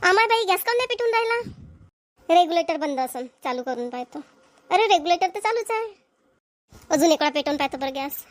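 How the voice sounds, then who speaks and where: A woman's voice: very high-pitched, expressive, fast talker, long pauses, no echo. A woman voicing a fretful homemaker mother in a Marathi cartoon comedy, with a rural Vidarbha accent, fussing and thinking aloud. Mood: worried. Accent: Maharashtrian, rural Vidarbha dialect. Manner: fussing, talks problems through aloud. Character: fretful homemaker mother of two young children.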